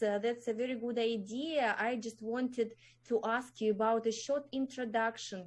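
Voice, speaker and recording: A woman hosting an online video meeting, politely asking participants to introduce themselves, with frequent fillers. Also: medium pitch. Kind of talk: casual chat. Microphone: video call.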